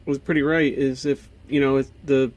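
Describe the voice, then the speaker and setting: A man's voice: medium pitch, flat delivery, moderate pace, a little mellow, no room sound. An American man giving a casual show-and-tell, thinking aloud in a hesitant, puzzled way. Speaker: hobbyist video maker. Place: indoors at a home workbench.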